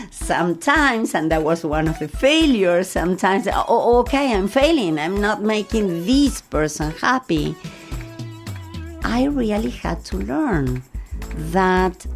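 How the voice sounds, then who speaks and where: A woman's voice: medium pitch, expressive, takes it slowly, faint music behind. A middle-aged woman with an Argentine Spanish accent, talking reflectively as an interview guest. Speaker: podcast guest, life coach.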